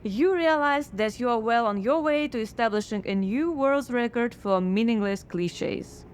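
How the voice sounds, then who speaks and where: An actress playing a blocked woman playwright who answers with wry, deadpan sarcasm in a radio drama. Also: a little high, normal speed, a little hiss or hum, natural voice. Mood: sardonic. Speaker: writer.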